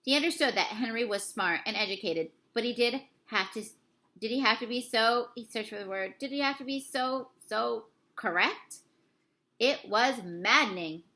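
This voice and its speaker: A woman reading a novel aloud like a teacher, with dramatic, deliberate storybook narration and the odd stumble over words. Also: fairly high pitch, bright, forceful tone.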